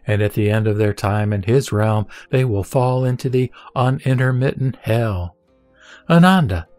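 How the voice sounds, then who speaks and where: Male narrator for sacred text: solemn, measured reading in a medium register, soft music underneath. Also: medium pitch, dry recording. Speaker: reciter of Buddhist scripture. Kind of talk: scripted reading of a sutra. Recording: dry voice over background music. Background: background music.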